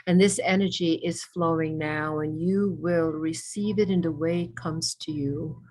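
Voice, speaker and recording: A woman leading a guided meditation with ASMR elements, speaking reverently in short, measured phrases with pauses between them. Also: sits low, steady tone, a little mellow.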